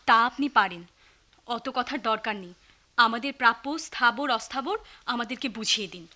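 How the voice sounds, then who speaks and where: A hot-headed young daughter in a radio drama. Her voice is high and sharp. She is indignant and challenges whoever she addresses. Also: moderate pace. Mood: defiant. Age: young adult. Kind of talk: Bengali radio play acting.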